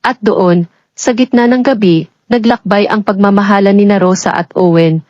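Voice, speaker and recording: A woman narrating a scripted romance story in a measured, sentimental storybook delivery. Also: mid-range voice, a little mellow, no echo. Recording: dull lo-fi audio with background music. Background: music.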